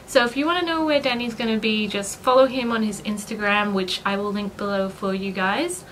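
Young woman vlogging, kinda high voice, far from the mic, noisy echoey room. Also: fairly bright tone. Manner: chatty, many fillers, laughs often. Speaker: vlogger and dog owner. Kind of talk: casual vlog talk to camera. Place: living room floor, then a bedroom vanity. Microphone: camera across the room. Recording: roomy, distant, noisy.

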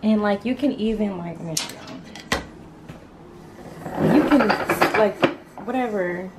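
A woman's voice: medium pitch, measured pace, creaky, heavy background noise. A young woman in her twenties vlogging casually to camera in her apartment, chatty with lots of fillers. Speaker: lifestyle vlogger. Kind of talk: casual vlog talk to camera. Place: apartment, indoors.

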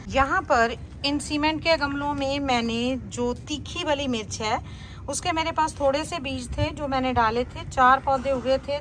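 A woman's voice: high voice, fairly bright tone, slight background noise, low-quality recording. A woman casually narrating a garden tour as a vlogger.

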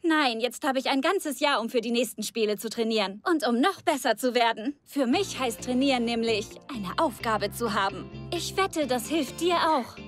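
A woman's voice: a little high, lively intonation, moderate pace, few pauses. A woman playing a sporty, driven, friendly young female athlete in a German-dubbed children's cartoon, speaking earnestly. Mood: friendly, confident. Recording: loud background music.

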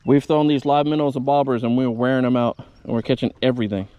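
A man's voice: mid-range voice, fairly even intonation, fast, dry recording, highs cut off. A man hosting a fishing vlog, talking casually and folksily to viewers from an open boat on a lake. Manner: folksy, addresses viewers as y'all and guys. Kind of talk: casual chat while fishing, talking to camera.

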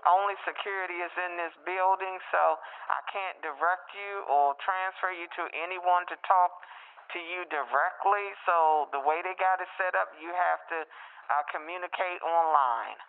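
A man's fairly high, creaky voice comes down a tinny phone line. He is a security guard, courteous but halting as he explains things. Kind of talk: phone call, giving information.

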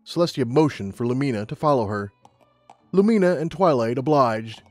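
A man's voice: mid-range voice, lively intonation, normal speed, a little mellow, no room sound, soft background music. A man reading scripted audiobook narration as the narrator of a story.

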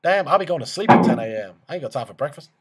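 A man's voice: fairly high pitch, lively intonation, rapid-fire, crowd noise. A man in his twenties making a joking phone call in a cheeky, swaggering, slangy way from a small room. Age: 20s. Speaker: prank caller and video host.

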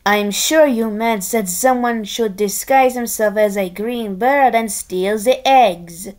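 A woman's voice: on the high side, slow, a little mellow. A woman voicing a deferential, scheming male pig chef in a storybook, speaking politely and carefully.

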